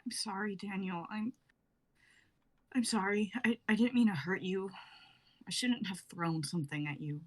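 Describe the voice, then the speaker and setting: A woman's voice: medium pitch, normal speed, pauses often, close to an everyday voice. Woman reading a foul-mouthed diva singer. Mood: tearful. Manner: swears a lot, swings from shouting to whispering. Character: self-absorbed young woman singer, volatile. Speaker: actor in a live script reading. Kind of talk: amateur dramatic reading.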